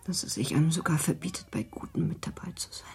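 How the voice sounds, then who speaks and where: A woman playing a sorrowful, lonely young gentlewoman in a radio drama, subdued and weary in her delivery. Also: low-pitched, expressive, fast. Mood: resigned, sad. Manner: subdued, breathy half-whisper, weary.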